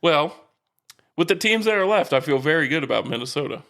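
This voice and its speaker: A man's voice: a little high, lively intonation, a little fast, a bit bright. An American man co-hosting a sports podcast, chatting casually with a teasing, emphatic manner.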